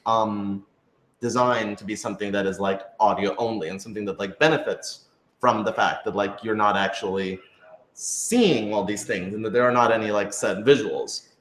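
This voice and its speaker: A man's voice: lowish voice, fairly even intonation, normal speed, fairly bright tone, a little hiss or hum. A man talking casually and thoughtfully in a group discussion as a show's creator, hedging and often saying "like". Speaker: podcast creator and writer in a group Q&A. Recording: roomy, echoey room sound.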